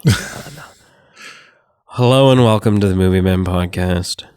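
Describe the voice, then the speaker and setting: A man hosting a casual movie review podcast, sick and sounding nasal, animated and swearing freely. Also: sits low, a little slow, smooth, dry recording, clean studio sound. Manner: animated, swearing, laughing often. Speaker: podcast host.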